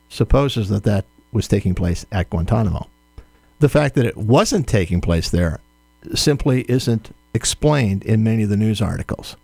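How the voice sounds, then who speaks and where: A man answering interview questions as an author, in a measured, firm, explanatory way. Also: fairly low pitch, gravelly, darkish tone, dry recording.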